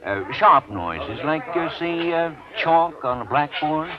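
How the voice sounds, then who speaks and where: An actor in old radio drama playing a deceptively affable, folksy man who probes slyly with halting, polite, needling talk. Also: a little high, animated, over a noisy crowd. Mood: slyly probing. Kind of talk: 1940s-50s radio drama acting. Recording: dull vintage radio recording, loud music.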